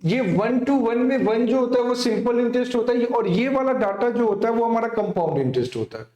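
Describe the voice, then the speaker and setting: Guy teaching exam maths, really high voice, chatty with students, echoey room. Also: expressive, a little fast, dark tone. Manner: instructive, often asks and answers own questions; addresses students familiarly. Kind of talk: exam coaching lesson, working through a problem.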